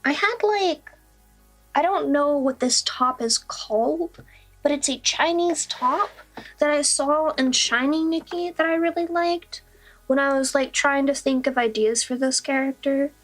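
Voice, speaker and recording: A woman's voice: sits high, a bit bright, soft background music, rough recording. A young woman streamer from the American Midwest with a mostly neutral American accent and traces of Southern-Midwestern. She chats casually and rambles a bit, teasing herself and laughing often. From Midwestern United States. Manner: rambling, chatty, self-deprecating, quick to laugh. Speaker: streamer and digital artist. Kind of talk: casual livestream chat.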